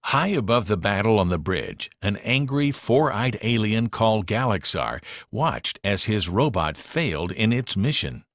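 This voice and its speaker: A man narrating a children's read-along storybook in an expressive, dramatic manner. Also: on the low side, measured pace, few pauses, no echo, studio quality.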